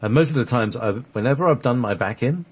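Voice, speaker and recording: Husky-voiced man chatting ramblingly. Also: mid-range voice, animated, fast. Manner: rambling, hesitant, jokey. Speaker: radio show host. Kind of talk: casual conversational storytelling.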